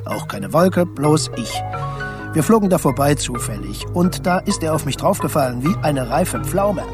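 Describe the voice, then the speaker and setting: A man's voice: a little high, expressive, moderate pace, natural voice. Wry storytelling swan played by a man, over music. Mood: chatty, indignant. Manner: colloquial, clipped, wry sentences. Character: male swan, chatty, proud, matter-of-fact letter writer. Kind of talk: radio play for children, first-person storytelling. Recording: music under the voice.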